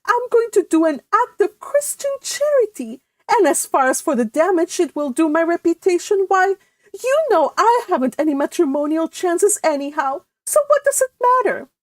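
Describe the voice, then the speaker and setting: Woman putting on a shrill weepy mother, squeaky high voice, swooping wildly, sobbing, fussy. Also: very animated, normal speed, no room sound. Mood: indignant. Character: hysterical weepy middle-aged mother, fussy.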